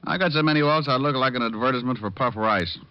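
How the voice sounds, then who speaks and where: A man's voice: medium pitch, quick pace, clean tone, lightly acted. A man playing a cocky, sardonic private detective who narrates and trades dry wisecracks in an old-time radio drama. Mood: needling. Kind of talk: 1940s-50s radio detective acting and narration. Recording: dull old-time radio recording, music.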